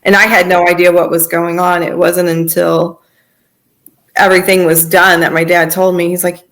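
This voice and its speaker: A woman's voice: fairly low pitch, level tone, moderate pace, a bit bright. An American woman, around her thirties, telling a personal story in a conversational way as a podcast guest.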